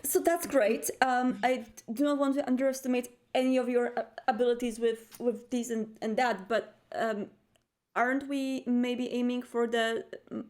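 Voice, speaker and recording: A woman with a fairly high voice and plenty of vocal fry plays a tabletop roleplay. She improvises her dialogue in a polite, careful and hesitant way. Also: a little mellow.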